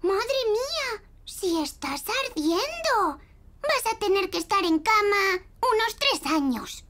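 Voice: extremely high pitch, very animated, slow pace, pushed and bright, slight background noise, heavily acted. A performer voicing a cheerful, bossy little girl piglet in a children's cartoon, with a playful, eager manner and a Castilian Spanish accent. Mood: playful, mock-serious.

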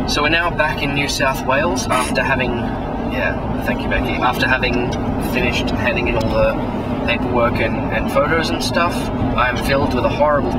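A man's voice: mid-range voice, distant mic, background noise. A young man in his twenties vlogging a road trip, chatting in a rambling, wry and exasperated way, full of fillers, with a handheld camera at arm's length. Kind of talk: casual travel vlog. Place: outdoors, in a car and in a large empty eatery. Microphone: handheld camera held at arm's length.

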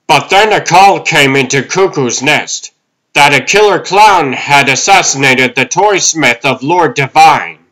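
A man's voice: a little high, lively intonation, measured pace, a bit bright, rough recording. A man voicing an eager, bumbling policeman in a comic dub, officious and deferential, with music behind. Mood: apologetic. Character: eager, bumbling male Keystone Cop. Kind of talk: comic-book dub acting. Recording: music under the voice.

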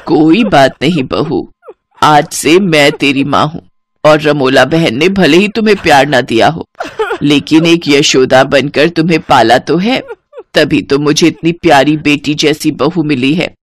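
A woman voicing a gentle, doting elderly mother-in-law who soothes affectionately in a cartoon story. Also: sits low, unhurried, pitched below the performer's own voice. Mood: tender.

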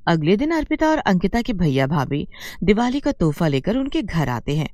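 A woman reading scripted narration for an animated moral story. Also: on the low side, moderate pace, no echo, studio quality.

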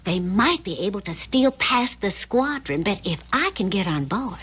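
A woman's voice: mid-range voice, big pitch swings, normal speed, fairly bright tone, breathy edge. A woman playing a bold, resolute actress turned spy in a 1970s radio drama. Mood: earnest, resolute.

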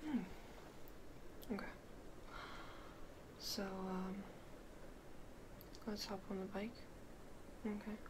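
A young woman playing a tough, secretive hacker rescuer in an ASMR roleplay, hushed and terse, blunt yet reassuring. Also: mid-range voice, level tone, extremely slow, long pauses, noisy background. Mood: guarded, calm. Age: young adult. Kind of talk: ASMR roleplay, soft spoken.

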